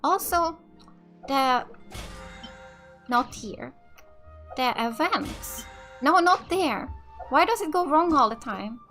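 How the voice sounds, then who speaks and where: A woman's voice: sits high, lively intonation, slow, long pauses, pushed and bright. A woman from Finland with a Finnish accent giving casual, slightly hesitant let's play commentary as a gaming YouTuber. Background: game music.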